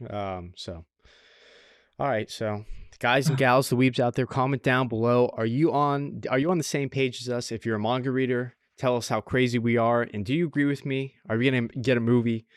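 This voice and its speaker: A man's voice: medium pitch, steady tone, moderate pace, no echo, studio quality. A man co-hosting a casual anime review podcast, chatting informally and often repeating short phrases for emphasis. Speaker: podcast co-host.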